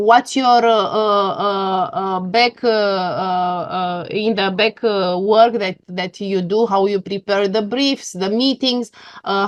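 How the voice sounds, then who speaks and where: A woman interviewer putting questions in a hesitant, halting way, often repeating words as she thinks aloud. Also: mid-range voice, lo-fi sound.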